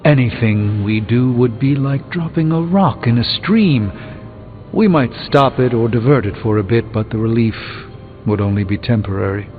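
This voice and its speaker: An actor playing an erudite, pompous sorcerer with a dry, articulate wit in a video game. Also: male voice, medium pitch, normal speed, close to an everyday voice. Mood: measured, wry. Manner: pompous, dryly witty, articulate. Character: erudite, haughty, wry male sorcerer. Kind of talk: video game character dialogue. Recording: muffled phone-like game audio under music.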